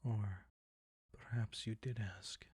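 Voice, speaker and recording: A very husky, breathy vampire count, acted by a man, speaks slowly and deliberately, close and dry on the mic. He is seductive and predatory, silkily teasing and possessive, often near a whisper, as in an intimate audio roleplay. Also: on the low side, steady tone, a little mellow, no room sound, exaggerated voice. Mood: gloating, commanding. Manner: slow, deliberate, silky teasing, commanding.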